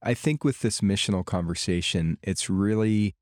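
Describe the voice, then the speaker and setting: A man's voice: on the low side, normal speed, darkish tone, no echo, studio quality. A man hosting a ministry podcast, laying out his thoughts carefully in conversation with his guests. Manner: thoughtful, building an argument. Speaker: podcast host.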